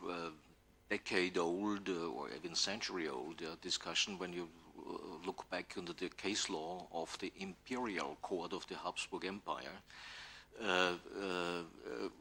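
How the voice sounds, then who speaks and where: A man answering questions as an academic panelist, halting and repeating words, often adding a questioning "yeah", with some room noise. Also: low voice, bright, forceful tone. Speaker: academic panel speaker, legal scholar. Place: university conference hall.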